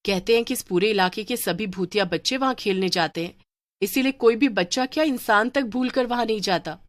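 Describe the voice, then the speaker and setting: A woman voicing a protective, cautioning aunt in an animated horror story. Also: medium pitch, a little fast, dry recording, studio quality, natural voice. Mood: warning. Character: protective aunt warning children about ghosts.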